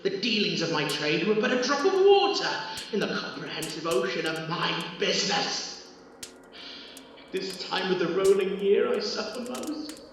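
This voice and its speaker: A man playing a tormented, mournful ghost of a dead businessman in an audio drama, declaiming gravely and theatrically through ghostly reverb. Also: high voice, big pitch swings, moderate pace, full character voice. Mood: anguished remorse. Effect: heavy ghostly reverb. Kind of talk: audio drama acting of Victorian literary dialogue. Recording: cavernous long reverb, distant, loud noise.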